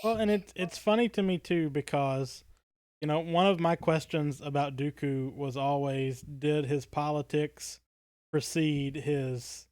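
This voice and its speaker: Male podcast co-host, lightly high pitch, analytical but casual, halting delivery, very dry sound. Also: steady tone, darkish tone, no room sound.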